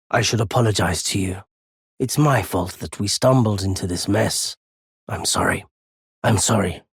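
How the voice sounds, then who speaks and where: An actor voicing a solitary, world-weary wandering merchant in a fantasy video game, with a husky, raspy voice and measured, formal phrasing. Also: male voice, deep voice, no room sound, clean studio sound, heavily acted. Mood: resigned, cold. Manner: slow, measured, formal old-fashioned phrasing. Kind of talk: dramatic fantasy game voice acting.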